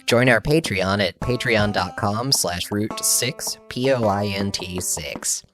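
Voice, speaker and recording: Low-voiced male podcast announcer over music. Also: slow pace. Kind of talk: scripted podcast outro narration.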